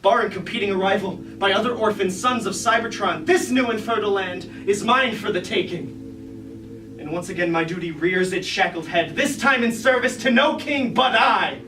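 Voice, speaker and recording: A man's voice: sits high, moderate pace, close to an everyday voice. A man playing a proud, bitter rebel robot warrior in a staged tragedy, declaiming grandly in an echoey room. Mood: grandiose, defiant. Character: proud bitter rebel robot warrior, ambitious traitor turned self-crowned king. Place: indoor room at a live staged reading. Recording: echoey room from far off, noisy.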